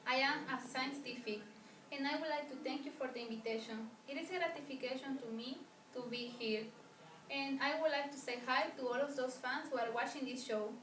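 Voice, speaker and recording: A woman acts out a famous woman scientist being interviewed in an amateur film. Her high voice is earnest and formal, with halting phrasing. The sound is roomy and distant, with faint noise. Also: fairly bright tone. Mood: polite, formal. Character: famous pioneering woman scientist, polite, earnest, proud talk-show guest.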